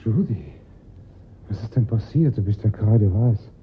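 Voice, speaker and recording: A man's voice: normal speed, close to an everyday voice. An actor playing an alarmed husband and father in a German radio crime drama, questioning and insistent. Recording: narrow muffled band, rumbling noise.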